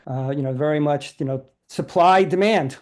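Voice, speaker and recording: A panel participant giving halting closing remarks, full of fillers. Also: mid-range voice.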